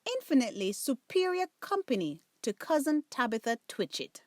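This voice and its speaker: A woman's voice: high voice, very animated, normal speed, darkish tone, no echo, studio quality. A woman voicing a genteel, fussy female cat housekeeper in a storybook, prim and precise as she muses to herself. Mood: fussy, approving.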